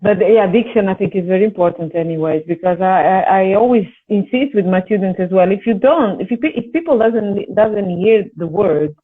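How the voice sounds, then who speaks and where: A woman's voice: medium pitch, brisk, few pauses, husky. A woman from Italy with an Italian accent, a singer and voice teacher talking earnestly in a casual conversation, her English learned as a second language. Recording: tinny phone-like line.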